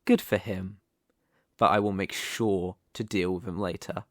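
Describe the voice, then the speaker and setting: A man playing a smug, menacing, possessive mafia boss, speaking in a taunting, silky, deliberate way in a dramatic ASMR roleplay. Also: sits low, steady tone, a bit bright, no room sound, clean studio sound. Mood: cold, threatening.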